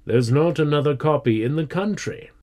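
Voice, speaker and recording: A man voicing a worried, earnest male schoolteacher in a storybook, speaking in a measured, pleading way. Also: fairly high pitch, a little mellow, voice pushed up, lightly acted. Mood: grim, weary.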